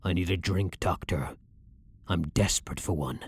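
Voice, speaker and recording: A man playing a blunt, brooding vampire hunter, himself a vampire, in a measured, dominant ASMR roleplay with a breathy voice. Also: low-pitched, no echo. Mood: hungry, self-loathing. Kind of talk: ASMR roleplay, dramatic monologue.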